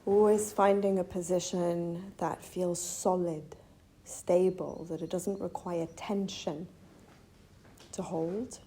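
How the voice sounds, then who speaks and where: A woman teaching guided meditation in a gentle, measured manner, leaving long pauses between phrases. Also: lowish voice, steady tone. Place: retreat venue. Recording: faint background ambient noise.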